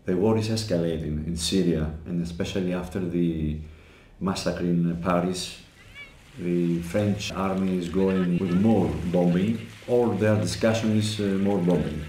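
A man's voice: low-pitched, fairly even intonation, measured pace, mellow. A man interviewed indoors, speaking earnestly about a crisis with slightly non-native phrasing. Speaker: activist interviewee. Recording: roomy echo, faint hiss.

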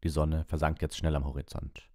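A man's voice: rumbling low, level tone, fairly quick, darkish tone, no echo, studio quality. A man reading a story aloud as scripted audiobook narration. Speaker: audiobook reader.

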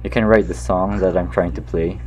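A man's voice: low voice, steady tone, fairly quick, darkish tone, highs cut off. A man whose first language is Filipino, hosting a playful, bossy chat in an online virtual room. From half Filipino, half American. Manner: playful, bossy, laughs often. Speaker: host. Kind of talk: casual chat in a virtual world. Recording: background music.

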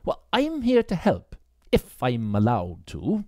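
A man's voice: medium pitch, huge pitch swings, slow pace, a little mellow, dry recording, clean studio sound, heavily acted. A man voicing a young policewoman, polite, earnest and a little hesitant, in an audiobook. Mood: eager.

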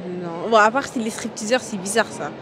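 A woman's voice: mid-range voice, a little fast, a crowd in the background. A woman answering a street interview outdoors, amused and chatty. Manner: lively, amused. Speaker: passerby interviewee. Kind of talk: casual street chat. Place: outdoor city square. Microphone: host's handheld mic.

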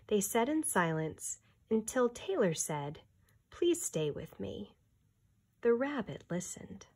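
A woman in her thirties, a teacher giving an expressive, deliberate read-aloud of a children's picture book. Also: fairly high pitch.

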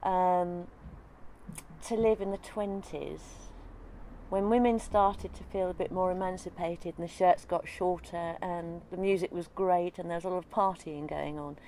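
Older woman interviewee, low-ish voice, relaxed and rambling, faint outdoor hiss behind. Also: a little slow, poor audio. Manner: chatty, thinks aloud with many ums. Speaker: interviewee, sailor. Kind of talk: casual interview chat. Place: outdoors in a leafy garden.

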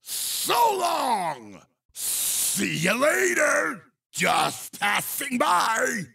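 Casting: boastful evil komodo dragon in a racing game. Gravelly, forceful, gloating, very slow, drawn-out delivery. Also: male voice, high-pitched, wildly swooping pitch, full character voice. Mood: excited, taunting. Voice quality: gravelly, raspy. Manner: exaggerated, gloating, shouted exclamations. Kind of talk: video game character voice clips.

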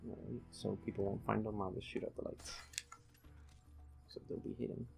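A young man giving low-key, explanatory commentary while playing a video game at home. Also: level tone, moderate pace, gravelly, warm tone, soft background music. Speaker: gaming channel host playing the game. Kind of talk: casual let's play commentary. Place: room at home.

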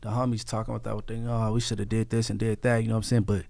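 A man's voice: lowish voice, little pitch movement, rapid-fire, vocal fry, a little mellow, no room sound. A man in his thirties from California, a podcast guest telling stories casually with heavy slang and frequent check-in tags, close on a studio mic. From Inglewood, California. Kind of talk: casual street storytelling. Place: small soundproofed podcast studio. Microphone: close studio podcast microphone.

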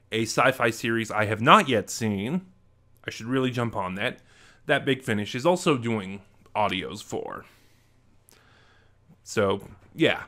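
A man's voice: medium pitch, sharp tone. A young adult man hosting a casual vlog, talking chattily and conversationally into a desk microphone in a room at home. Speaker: vlog host. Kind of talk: casual unboxing and review vlog talk. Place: small home room, indoors. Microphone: desk microphone close in front.

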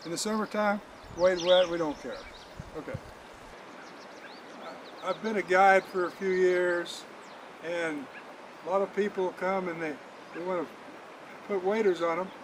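Older guy showing how stuff's done, high voice, folksy n kinda halting, outside with clear background noise. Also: steady tone. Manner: folksy, halting, repeats words. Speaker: fishing guide. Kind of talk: casual how-to demonstration. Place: outdoors at a roadside in the country, by a car.

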